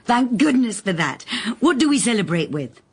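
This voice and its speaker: Brief: wry woman sleuth, breathy husky voice, lively intonation, brisk and companionable. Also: on the high side, exaggerated voice. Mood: relieved. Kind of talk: radio drama acting.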